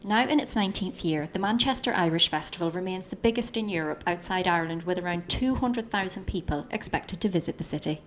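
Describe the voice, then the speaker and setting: A woman's voice: lowish voice, lively intonation, quick pace, hardly any pauses, slight background noise. A woman narrating a news report as a voice-over. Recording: music bed under the voice-over.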